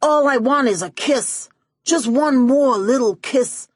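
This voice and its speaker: A woman's voice: high-pitched, takes it slowly, slightly rough, no room sound, pitched above the performer's own voice, heavily acted. Female narrator as besotted male gangster, middle-aged, pushy, pleading, emphatic, audiobook character, close dry sound. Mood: infatuated, pleading.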